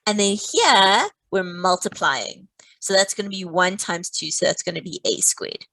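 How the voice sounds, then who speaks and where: A woman's voice: mid-range voice, moderate pace, fairly bright tone, no room sound, low-quality recording. A woman teaching a live online maths lesson, playful and teasing, walking through each step.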